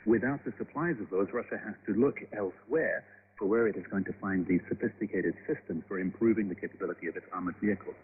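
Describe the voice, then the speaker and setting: A male analyst gives expert commentary in a fairly low voice. It has long reverb and a thin, phone-like shortwave band. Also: fast talker, breathy edge. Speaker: think-tank analyst. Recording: reverberant, narrow, noisy shortwave interview clip.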